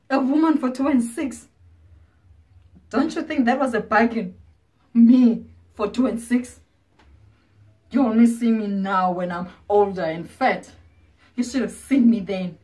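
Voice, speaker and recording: An actress playing a proud, bitter ageing former prostitute, wounded and defiant, in a confrontational stage monologue with pointed pauses. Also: female voice, a little high, a little mellow, natural voice. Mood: bitter, taunting. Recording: distant microphone.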